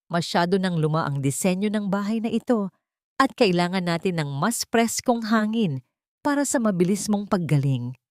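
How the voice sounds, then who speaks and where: A woman's voice: medium pitch, expressive, normal speed, dry recording, clean studio sound, natural voice. A woman voicing a domineering, greedy older mother-in-law, brazen and falsely caring, in an audio story. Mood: bossy, falsely caring. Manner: sharp, brazen, commanding. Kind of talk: audio storybook character dialogue.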